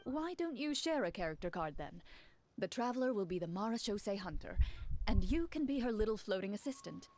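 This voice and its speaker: Woman playing a calm, mid-pitched game master. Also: fairly quick, dry recording, natural voice. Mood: polite, instructing. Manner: clear, polite instructing. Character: calm, orderly young woman running a tabletop game. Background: game music.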